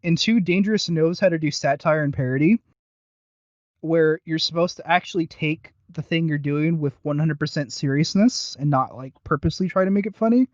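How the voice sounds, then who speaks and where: Male podcast co-host, halting and enthusiastic, casual reviewer chat, very dry close sound with dulled fidelity. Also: fairly high pitch, dry recording, muffled recording.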